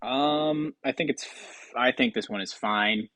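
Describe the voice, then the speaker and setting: A man's voice: mid-range voice, unhurried, a bit bright, no echo. A man giving casual, rambling commentary as a video host, hesitant and self-deprecating, close to the mic. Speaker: video host ranking game voice lines.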